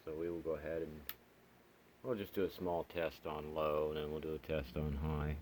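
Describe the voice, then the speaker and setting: A man's voice: very deep voice, normal speed. A man giving a casual, unscripted product demonstration as a hobbyist reviewer, plainspoken and half talking to himself as he works. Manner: plainspoken, muttering to himself while working. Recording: steady background noise, amateur home video.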